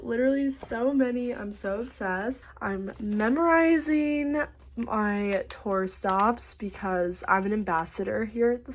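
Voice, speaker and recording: A woman's voice: on the high side, slight background noise. A young woman, a college student, chatting casually to camera in a vlog, a bit congested and using lots of fillers. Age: young adult. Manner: chatty, filler-heavy, upbeat.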